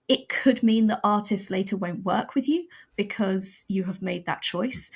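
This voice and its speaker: A woman who is a guest on a podcast, speaking in a firm, emphatic and articulate way. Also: medium pitch. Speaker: podcast guest, audio drama writer. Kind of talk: casual conversational interview.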